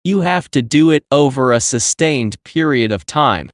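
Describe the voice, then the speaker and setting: Mid-pitched man reading aloud, very dry sound. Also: expressive, normal speed, no echo. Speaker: read-aloud model reader for test practice. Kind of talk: scripted read-aloud of exam passages.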